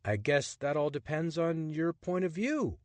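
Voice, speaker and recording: A man's voice: fairly high pitch, animated, measured pace, a little mellow, dry recording, pitched above the performer's own voice. A man voicing a bold, chatty young adventurer with a breezy, persuasive manner. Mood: charming.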